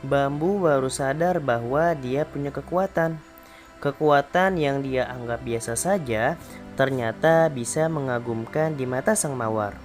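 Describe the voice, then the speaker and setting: A male primary school teacher reading a children's fable aloud in a calm, clear voice for a remote class. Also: medium pitch, measured pace, faint music behind. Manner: calm, clear storybook narration.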